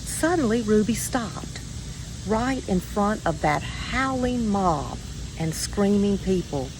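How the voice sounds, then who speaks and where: A woman reading a children's story aloud in a measured, expressive way with deliberate pauses. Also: medium pitch, noisy background. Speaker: storybook reader.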